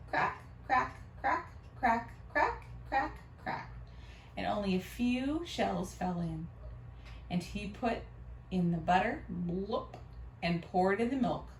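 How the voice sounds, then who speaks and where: Animated woman reading to children, roomy sound. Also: mid-range voice, vocal fry. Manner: expressive, teacherly. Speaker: storybook reader for children. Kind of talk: animated read-aloud with questions to children. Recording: roomy, distant mic, faint noise.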